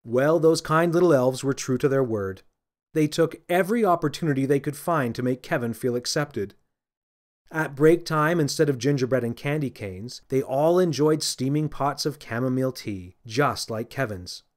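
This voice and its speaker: Male narrator for kids' audiobook. Medium pitch, expressive scripted storybook read. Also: moderate pace. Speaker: author reading own children's audiobook.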